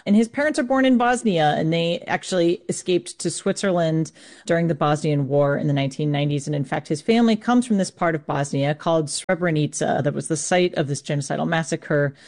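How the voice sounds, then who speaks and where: A woman's voice: low voice, a little fast, darkish tone. An American woman working as a sports correspondent, explaining things informally in a conversational live radio report.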